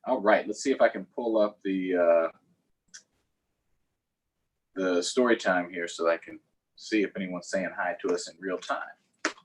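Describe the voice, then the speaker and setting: A man's voice: deep voice, measured pace, long pauses, slightly rough, rough recording. A man hosting a children's story time, reading aloud in a playful, sing-song way with chatty asides to viewers.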